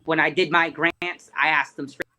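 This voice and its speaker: A man's voice: on the high side, steady tone, moderate pace, bright, forceful tone. Slangy man in loose podcast chat. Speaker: podcast guest, rapper.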